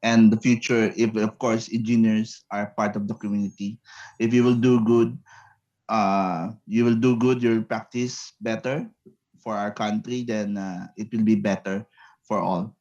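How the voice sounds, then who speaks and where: A man with a Filipino accent giving a webinar talk over a video call, halting and repeating words as he mixes English and Tagalog. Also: lowish voice, flat delivery, darkish tone, highs cut off. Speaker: guest speaker, engineer. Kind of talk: webinar lecture. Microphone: computer mic on video call.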